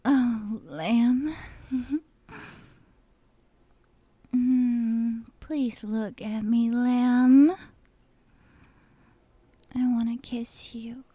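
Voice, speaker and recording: A dominant, besotted yandere woman, acted by a woman for an ASMR roleplay. She speaks extremely slowly in a breathy voice that often sinks to a whisper, very close and dry on the microphone. Her tone is intimate and coy, with a sweet menace under the teasing. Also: a little high, fairly even intonation, mellow, no echo.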